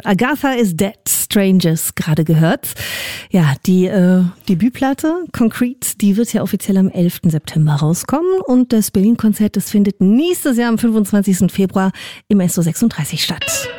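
A woman's voice: mid-range voice, animated, normal speed, dark tone, dry recording. A woman presenting on the radio in a casual, conversational moderating style, close on a studio microphone. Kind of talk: casual radio moderation between music and features.

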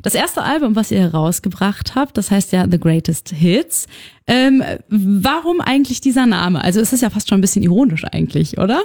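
A woman's voice: medium pitch, lively intonation, normal speed, a little mellow, no room sound, clean studio sound. A woman from Cologne hosting a music interview on the radio. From Cologne, Germany. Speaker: radio host. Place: radio studio. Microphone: close studio microphone.

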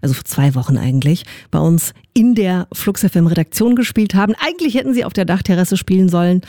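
A woman's voice: fairly low pitch, lively intonation, fast talker, dark tone, no room sound. A woman presenting on the radio in a chatty, informal way, introducing segments from a studio. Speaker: radio host. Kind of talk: casual radio presenting between songs.

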